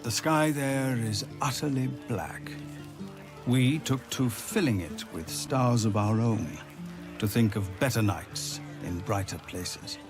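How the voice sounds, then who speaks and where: A man playing a gracious, thoughtful leader in a fantasy video game, speaking in a measured, formal and courteous way. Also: medium pitch, over music, close to an everyday voice. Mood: solemn, tender. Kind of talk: video game cutscene acting.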